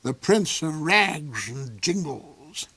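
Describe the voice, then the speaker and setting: A man's voice: on the high side, sing-song, pushed and bright, husky, no room sound, pitched above the performer's own voice, exaggerated voice. Man playing cold, sneering Duke, dry sound. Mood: sly threat. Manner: haughty, measured, sneering. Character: cold, menacing, sly lame Duke, male villain.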